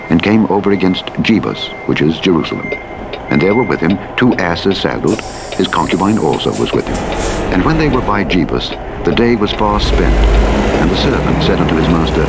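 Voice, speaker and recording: Beneath the loud rumble of a truck, a man narrates scripture in a very low voice. His reading is formal and measured, with a solemn manner, like a scripted audiobook. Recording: loud truck engine noise, played as radio. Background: truck simulator engine, vehicle sounds, reversing beeps.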